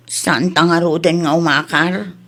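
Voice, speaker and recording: A woman's voice: lowish voice, steady tone, unhurried, a bit bright. A woman with an Ilocano accent playing a meek, pleading wife and mother in a comedy radio drama. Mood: worried.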